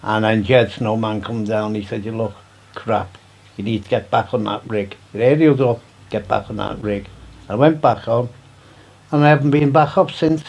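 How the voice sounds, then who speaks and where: A man's voice: on the low side, moderate pace, gravelly, a little mellow. A man with a Liverpool accent, reminiscing casually in an interview in plain-spoken, colloquial language. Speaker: CB radio hobbyist being interviewed.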